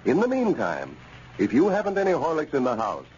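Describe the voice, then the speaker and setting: A man announcing on an old radio show, giving a formal, persuasive sponsor message in measured phrases. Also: a little high, huge pitch swings, a little mellow. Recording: muffled, noisy old radio recording.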